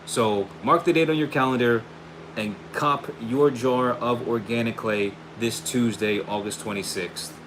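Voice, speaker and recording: A man hosting a casual livestream and pitching his own hair product, upbeat and friendly, saying he is losing his voice. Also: a little slow. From United States. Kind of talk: casual livestream chat, reading viewer questions. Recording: steady air conditioning hum behind.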